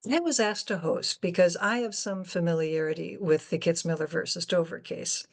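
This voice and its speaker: A woman's voice: on the low side, normal speed. An older woman acting as moderator, introducing and interviewing a guest.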